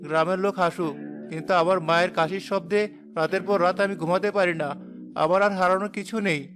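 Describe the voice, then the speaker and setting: A man acts a devoted poor young villager in a cartoon tale. His voice is high for a man and earnestly pleading, resolute underneath. Faint music accompanies it. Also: steady tone, fast, lightly acted. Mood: determined. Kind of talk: cartoon drama dialogue.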